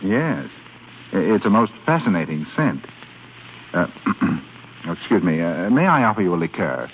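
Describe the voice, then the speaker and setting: Casting: a smooth, secretive romantic admirer, teasing and ardent, very lively pitch, tinny vintage radio sound. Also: male voice, moderate pace. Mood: teasing, admiring. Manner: smooth, teasing, with hesitant throat-clearing. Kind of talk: 1930s radio drama acting. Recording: tinny, noisy 1930s radio broadcast.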